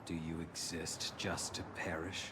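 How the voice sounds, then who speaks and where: An actor playing a grim, brooding swordsman in a video game, cold and weary, with solemn, restrained and deliberate delivery. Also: deep voice, little pitch movement, background noise. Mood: cool, brooding. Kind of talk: video game dramatic acting.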